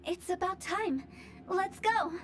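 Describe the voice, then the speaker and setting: A woman's voice: very high voice, slow pace, a little hiss or hum. An actress voicing a playful young woman who runs a funeral parlor, speaking in a teasing yet cryptic way in a game cutscene. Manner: teasing, then grave and cryptic when explaining. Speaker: game character. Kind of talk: video game cutscene dialogue.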